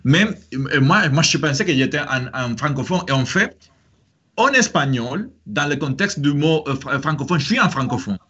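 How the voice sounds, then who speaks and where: A man with a Spanish accent and a forceful, bright tone, hosting a relaxed conversation. He talks haltingly, with frequent fillers and restarts. The recording sounds dull and lo-fi. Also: on the high side, husky. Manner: hesitant, many fillers and restarts. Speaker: host. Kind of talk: casual conversational chat.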